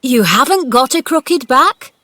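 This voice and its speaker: A woman voicing a cross old male gardener who is gruff but tender, in a storybook reading. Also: high-pitched, expressive, measured pace, sharp tone, pitched above the performer's own voice, full character voice. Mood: shaky. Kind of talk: storybook character voice.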